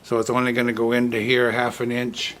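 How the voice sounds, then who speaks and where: A man's voice: medium pitch, completely flat delivery, quick pace, a bit bright. Folksy man explaining hands-on workshop craft. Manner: folksy, plainspoken step-by-step explaining. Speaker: woodturning channel host and instructor. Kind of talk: casual workshop demonstration talk.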